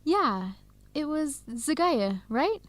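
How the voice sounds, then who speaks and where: A woman's voice: sits high, sing-song, takes it slowly, exaggerated voice. A woman playing a friendly young Ethiopian American grad student with an American accent in a radio drama, speaking in a conversational way. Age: young adult. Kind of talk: educational radio drama acting.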